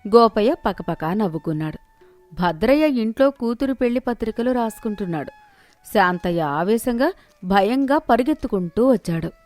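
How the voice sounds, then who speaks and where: A woman's voice: fairly high pitch, lively intonation, moderate pace, a little mellow, no room sound, soft background music. A woman narrating a scripted moral story as its storyteller.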